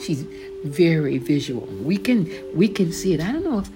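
A woman's voice: low-pitched, very animated, normal speed. A woman author and host reading her devotional book aloud, earnest and conversational, calling the listener beloved. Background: background music.

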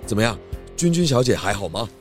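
A man playing a deliberate, questioning detective in a children's radio mystery. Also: fairly low pitch, very animated, soft background music. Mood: inquisitive. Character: calm, inquisitive male detective.

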